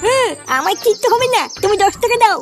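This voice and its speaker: A woman's voice: extremely high pitch, quick pace, soft background music. A woman voicing a small, carefree child in a comedy cartoon, childish and insistent. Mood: wheedling. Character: small, wheedling, carefree grandchild begging treats.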